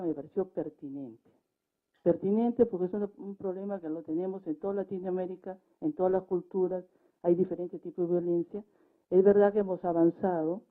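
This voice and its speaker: A woman giving her opinion as a debate panelist, unscripted and deliberate, phrase by phrase. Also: lowish voice. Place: public debate event. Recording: muffled, lo-fi event recording.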